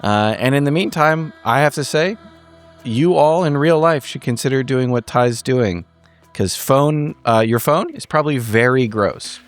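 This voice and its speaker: A man giving a casual spoken recap of a horror roleplaying story, in measured, wry phrasing. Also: mid-range voice, faint music behind. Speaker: podcast player giving the episode recap.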